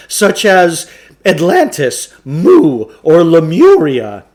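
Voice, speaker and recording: Guy doing a storybook huckster shopkeeper, pompous sales patter, flattering, high voice swooping all over. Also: full character voice. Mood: pompous lecturing. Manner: theatrical salesman patter with hesitant asides. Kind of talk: storybook character voice.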